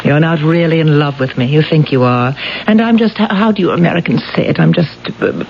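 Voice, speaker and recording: An actress playing a flippant, flirtatious foreign mistress in a radio play, airy, worldly and teasing. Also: female voice, low voice, a little fast, gravelly. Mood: playful, dismissive. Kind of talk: 1970s radio drama acting. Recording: dull, faintly noisy vintage radio recording.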